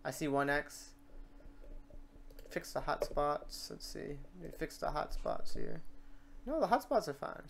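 A man hosting an off-the-cuff software tutorial, informal and rambling, muttering to himself as he works. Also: fairly high pitch, wildly swooping pitch, measured pace, vocal fry, pauses often, slight background noise. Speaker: tutorial host.

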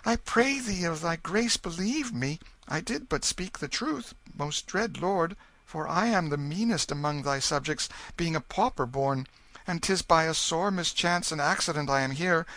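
A humble, trembling pauper boy pleads in old-fashioned English, as a man reading a storybook puts him on. The voice sits high above the reader's own, with a lively, rising lilt. It sounds bright and close, with no room around it. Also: normal speed, dry recording. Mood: frightened pleading. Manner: trembling, humble, archaic speech.

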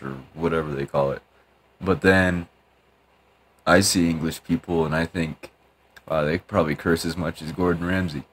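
A man's voice: low-pitched, slight background noise. A man casually commenting while playing a game, rambling and joking at himself. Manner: rambling, self-deprecating, mumbly. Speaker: gaming youtuber. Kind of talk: casual let's-play commentary.

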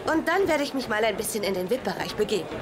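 Chatty young woman, high pitch, wildly animated, loud techno behind. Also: fast. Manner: bubbly, chatty. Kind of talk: German TV dub. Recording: loud club music behind.

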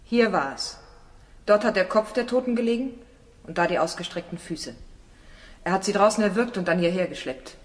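A woman's voice: mid-range voice, normal speed, lightly acted. A woman playing a firm, probing female defence lawyer in a crime radio play, with insistent, pointed questioning. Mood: grave, reconstructing. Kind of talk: German radio drama acting.

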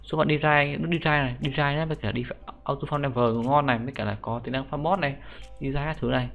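A young man giving a casual, slangy game tutorial as an excitable YouTuber, talking viewers through a menu. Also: medium pitch, quick pace, creaky. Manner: informal, excitable slang, repeated sentence-end particles. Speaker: gaming YouTuber. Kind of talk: casual screen-recorded game script tutorial. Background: music.

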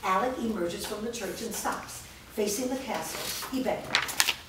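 A woman narrating stage directions in a staged script reading, reading them clearly and evenly. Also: mid-range voice, measured pace. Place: large indoor studio room. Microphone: far camera. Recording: echoey room from far off, noisy background.

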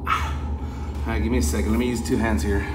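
A man's voice: on the low side, flat delivery, quick pace. A man giving a casual, informal hands-on work commentary as a technician, speaking to his viewers while he works. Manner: informal, addresses viewers as guys. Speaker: refrigeration technician, vlog host. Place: on site at work, inside walk-in freezer and outdoors at condensing unit. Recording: loud machinery and ambient noise, handheld camera.

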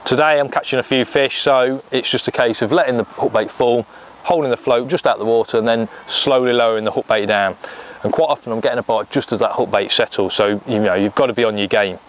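A man's voice: medium pitch, fairly even intonation, a little fast, slight background noise. A man in his thirties with an English accent, giving a practical step-by-step fishing tutorial from a lakeside bank on a lapel mic. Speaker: angling coach.

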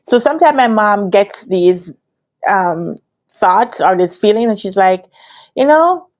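Casual vlog storyteller: Jamaican woman in her thirties, mid-pitched, expressive, acts out the dialogue. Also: unhurried. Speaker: business analyst trainer telling her career story. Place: quiet indoor room with curtains. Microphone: lapel.

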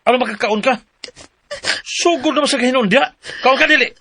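A man's voice: very high-pitched, fairly quick, pushed and bright, dry recording, full character voice. A man playing an abusive, drug-addicted husband in a radio drama, taunting and domineering, with a Cebuano Filipino accent. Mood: taunting, bullying.

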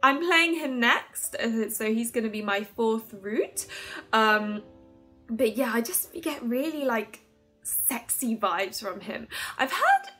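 A woman in her twenties giving a casual, chatty game review to camera in an animated, giggly manner. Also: fairly high pitch. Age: 20s. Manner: animated, giggly, hand-gesturing. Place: small furnished room at home, indoors. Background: music under the voice.

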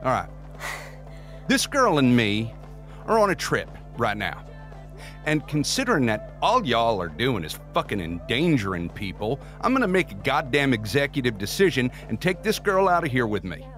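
An actor playing a blunt, protective country music star father, folksy and forceful, in an audio drama. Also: medium pitch, sing-song, normal speed. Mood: urgent, concerned.

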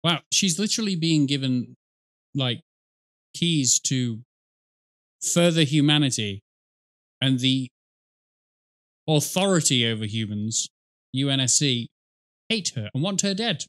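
A man's voice: medium pitch, animated, a little slow, pauses often, a bit bright. A middle-aged man giving casual, rambling, wry commentary as he reacts to video.

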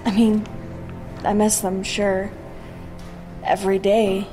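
A woman's voice: medium pitch, flat delivery, takes it slowly, mellow, soft background music, lightly acted. An actress playing a thoughtful, sincere young female leader of a keep in a fantasy audio drama, hesitant and wistful.

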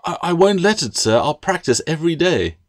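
Man doing a nervous young girl apprentice, raised pitch. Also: big pitch swings, fairly quick. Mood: anxious, eager. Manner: apologetic, flustered, deferential.

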